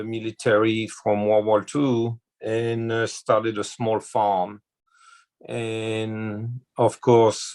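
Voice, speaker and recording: A man in his sixties with a French accent, a chef telling his life story in an interview, slow and hesitant with many fillers. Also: on the low side. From France. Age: 60s.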